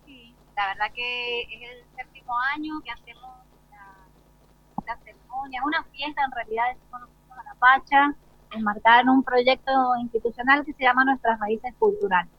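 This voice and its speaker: A woman's voice: on the high side, normal speed, dry recording. A woman with an Argentine accent speaking spontaneously as an interviewed school director. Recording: dull, lo-fi live broadcast sound.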